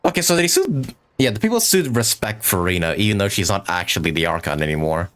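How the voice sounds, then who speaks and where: A woman game streamer giving casual, informal live commentary in a halting, chuckling way. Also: very deep voice, sing-song. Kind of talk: casual live gameplay commentary, also reading dialogue choices aloud. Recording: faint game music behind the voice.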